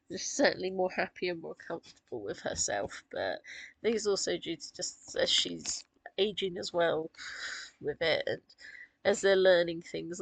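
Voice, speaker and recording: Croaky, husky woman chatting. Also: lowish voice, no echo, poor audio. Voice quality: gravelly, husky. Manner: chatty, rambling with fillers and small laughs. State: croaky from a sore throat. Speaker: author discussing her characters. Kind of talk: casual chat.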